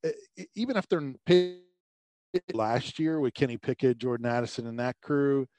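A man from Tampa, a sports radio host, giving animated sports analysis as a podcast guest, his pitch rising on emphasis. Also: normal speed, no echo. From Tampa, Florida.

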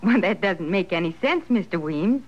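Plucky, animated frontier girl. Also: on the low side, moderate pace. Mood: earnest, insistent. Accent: rural American Western. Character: plucky, earnest frontier daughter, plain-spoken. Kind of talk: old-time radio western acting. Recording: dull old radio recording, faint noise.

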